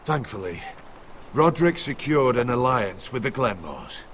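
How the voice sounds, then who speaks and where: An earnest counsellor in a video game drama. The speech is formal and principled, with lively, wide-ranging pitch and a faint noise behind it. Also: male voice, measured pace. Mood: grave, concerned. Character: earnest, principled male counsellor to a young lord.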